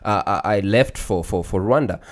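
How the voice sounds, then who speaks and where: A man with a fairly low voice speaks on a radio panel in a studio. He talks hesitantly, with frequent uh and um. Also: normal speed. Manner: hesitant, many uh and um fillers. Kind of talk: casual radio talk.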